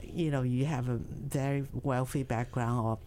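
A woman speaks in a notably low voice. She answers in short halting phrases, pausing on fillers as she searches for words. Faint noise sits behind her. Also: steady tone, warm tone. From Hong Kong. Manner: hesitant, halting speech with many fillers. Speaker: documentary filmmaker and teacher.

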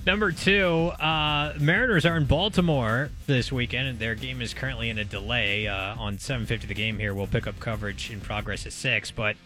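A man's voice: medium pitch, fairly even intonation, a little fast, few pauses, pushed and bright, soft background music. A man co-hosting a casual sports radio show, setting up topics and questions. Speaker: sports radio guest host. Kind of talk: casual sports talk, posing topics to a co-host.

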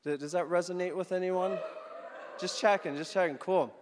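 A man's voice: high-pitched, steady tone, normal speed, background noise. A man in his thirties who grew up in the Philippines, a guest preacher telling his story in an upbeat, joking way to the congregation. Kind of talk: sermon with personal story. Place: church hall. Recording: long church hall reverb.

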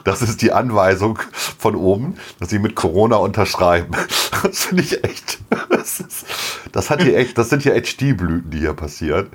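Brief: middle-aged man, very low voice, a bit hoarse, easygoing podcast chatter with frequent laughs. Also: lively intonation, moderate pace. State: slightly hoarse. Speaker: podcast co-host. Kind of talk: casual chat.

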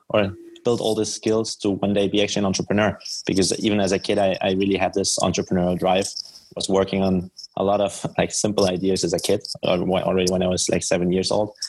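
A man's voice: sits low, darkish tone, slightly rough, low-quality recording. A man from Germany with a German accent, answering conversationally as a guest in a podcast interview. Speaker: podcast guest, startup founder.

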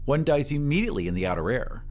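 A man's voice: mid-range voice, very animated, fast, a little mellow, faint music behind, lightly acted. A man voicing a prim, irritable and dismissive mother from a futuristic society in an audio drama. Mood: controlled disapproval. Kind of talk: audio drama character dialogue.

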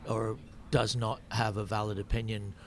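A man's voice: fairly low pitch, little pitch movement, moderate pace. A man who is a stand-up comedian, chatting on a podcast, thinking aloud with many restarts. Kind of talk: casual podcast chat. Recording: noisy background.

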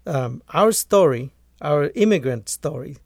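Gravelly man from Guatemala. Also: on the high side, lively intonation, a little slow, darkish tone, dry recording, studio quality. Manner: earnest, restarts phrases often. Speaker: poultry farmer and author. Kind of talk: conversational interview answers.

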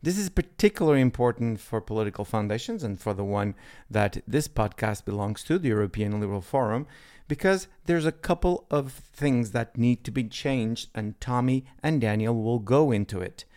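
A male podcast host with a mid-range voice opens the show and introduces his guests. His delivery is measured and formal, like a read script. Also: medium pitch, lively intonation, a little mellow, clean tone.

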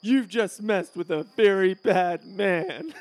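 A man's voice: high-pitched, lively intonation, slow pace, no room sound. A man playing a pampered, bratty male student in a comedy series, petulant and full of bluster. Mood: indignant.

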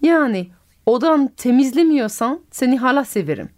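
A woman's voice: sits high, lively intonation, moderate pace, a little mellow. A woman from France, speaking in a language that is not her first, hosts a radio conversation. Her voice rises and falls a great deal. She asks probing questions and often completes her guest's thoughts. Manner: curious, questioning, finishes the guest's sentences. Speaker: radio host and storyteller. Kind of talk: radio interview.

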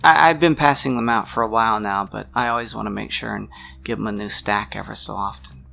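Man playing a low, gravelly, troubled friend. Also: pushed and bright, exaggerated voice. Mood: earnest, subdued. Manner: halting, deliberate, pausing mid-sentence. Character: troubled man worried over his missing friend, protective, sincere. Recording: music under the voice.

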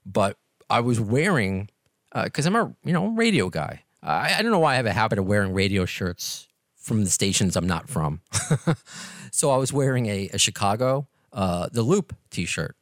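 Middle-aged man, New York accent, podcast host, chatty and joking, very lively intonation, dry close sound. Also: on the low side, normal speed, no echo. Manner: chatty and self-deprecating, animated intonation. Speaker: podcast host and radio presenter. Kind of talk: casual interview chat.

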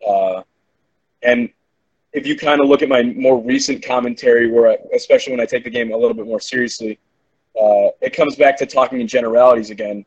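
A man's voice: mid-range voice, fairly even intonation, fairly quick, slightly rough, rough recording. A man who works as a game commentator, chatting on a podcast in a rambling, profane, self-assured way. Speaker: esports commentator. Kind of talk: casual podcast chat.

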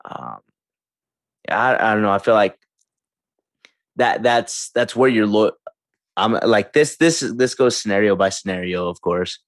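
A very deep-voiced man in his mid-twenties talks in a relaxed, slangy way, dropping "bro" and "facts". His voice sits close and dry, with no room sound. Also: measured pace, long pauses, no echo. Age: 20s. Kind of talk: casual chat.

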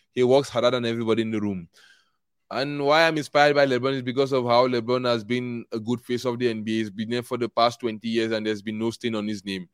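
A young man, talking through his views as a podcast guest. His voice is smooth and resonant, recorded close and dry. He rambles with fillers and breaks into easy laughs. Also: medium pitch, dry recording. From Nigeria. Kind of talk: casual interview chat.

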